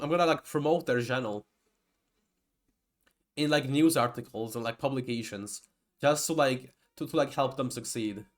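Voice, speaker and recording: A young man, a native Georgian speaker, giving a rambling, casual lecture with many restarts and digressions. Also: medium pitch. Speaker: typology lecturer.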